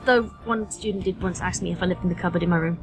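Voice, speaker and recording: A woman's voice: on the low side, steady tone, very fast, soft background music. A British woman in her thirties talking casually as a podcast guest, explaining things in an articulate, wry way. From United Kingdom. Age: 30s. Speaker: guest, voice actress and high school teacher.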